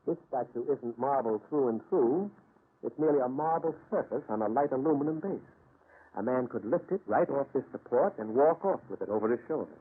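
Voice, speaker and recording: Husky criminologist in muffled vintage radio. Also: male voice, medium pitch, expressive, brisk. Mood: brisk, assured. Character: confident, soothing male criminologist investigating eerie mysteries. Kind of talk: old-time radio drama acting.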